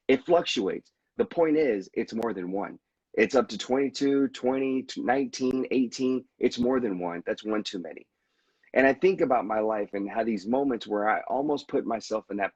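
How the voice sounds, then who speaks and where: A man with a husky, lowish voice tells his own story in an earnest, reflective way. He often stumbles and restarts a sentence. The sound is dry and close, with the dull, lo-fi quality of a video call. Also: darkish tone, dry recording. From United States. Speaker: veteran guest. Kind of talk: candid conversational storytelling.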